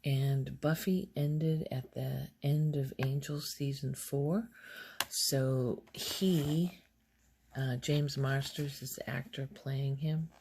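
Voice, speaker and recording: A woman speaking softly in casual ASMR style, often slipping toward a whisper, halting as she thinks aloud. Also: very deep voice, fairly even intonation, slight background noise. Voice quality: soft, often breaking into whisper. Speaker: ASMR video host. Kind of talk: soft-spoken ASMR, casual rambling show-and-tell.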